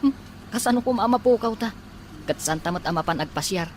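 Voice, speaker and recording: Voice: medium pitch, expressive, fairly quick, slight background noise, natural voice. A performer playing a curious young male student new to the city, with an Ilocano accent in a radio drama. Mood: curious, easygoing. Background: music.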